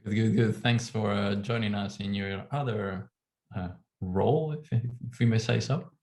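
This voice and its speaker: A man hosting a casual video call chat, halting and hesitant with many fillers. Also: on the low side, a little mellow, reverberant. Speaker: host.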